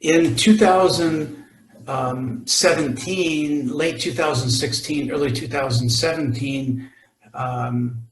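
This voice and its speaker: A man presenting a mountaineering talk over photos, halting and full of fillers and restarts. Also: a little high, echoey room, low-quality recording. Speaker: expedition climber presenting. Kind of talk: presentation to a club audience.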